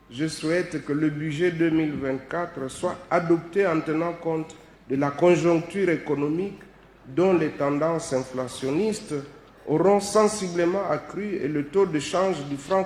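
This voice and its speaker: A man's voice: on the high side, a little mellow. A man reading a formal parliamentary speech in a large hall. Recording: roomy, echoing hall sound.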